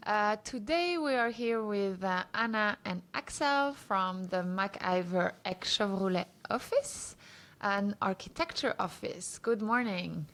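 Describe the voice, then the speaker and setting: A woman's voice: medium pitch, animated, a little slow, a bit bright, a little hiss or hum. A woman hosting a podcast interview, asking questions in a friendly way with some hesitation. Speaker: podcast host.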